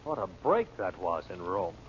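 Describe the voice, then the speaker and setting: A man's voice: low-pitched, big pitch swings, moderate pace. An actor playing a cocky, wisecracking impostor and reluctant henchman in an old radio drama, breezy, slangy and sardonic. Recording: dull, noisy old radio recording.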